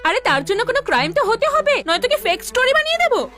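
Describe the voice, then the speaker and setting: A woman playing a bossy, scheming, excitable young cartoon news reporter, brash and impatient. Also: very high-pitched, quick pace. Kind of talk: animated cartoon dub acting. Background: background music.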